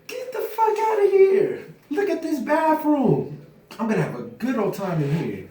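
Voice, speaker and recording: A man's voice: extremely high pitch, very animated, a little slow, mellow, heavily acted. A man reading the part of an eager, easygoing young film actor at a script table read. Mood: thrilled. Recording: roomy, distant mic, faint noise, clicks.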